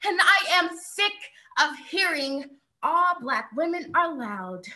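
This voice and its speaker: A woman's voice: sits high, sing-song, slow pace, sharp tone. A young American woman performing slam poetry in an emphatic, declamatory way with repeated refrains. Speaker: spoken-word poet.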